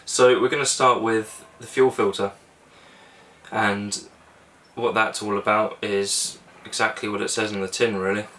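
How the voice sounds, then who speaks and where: A man's voice: fairly low pitch, unhurried, far from the mic. A young man, casually explaining boat engine checks step by step in a small cabin. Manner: relaxed, practical, talks through steps with fillers. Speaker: sailing vlogger.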